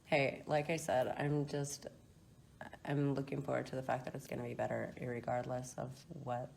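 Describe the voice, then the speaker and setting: A woman's voice: rumbling low, level tone, normal speed, vocal fry, darkish tone. A woman patient making casual, chatty, joking conversation during a procedure. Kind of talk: casual chat. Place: indoor surgical procedure room. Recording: faint background noise in room.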